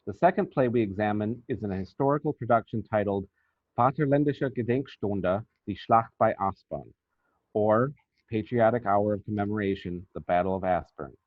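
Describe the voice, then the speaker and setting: A man's voice: on the low side, moderate pace, no echo. A man reading a scripted academic paper aloud, on a muffled, narrow-band recording. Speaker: academic researcher presenting a paper.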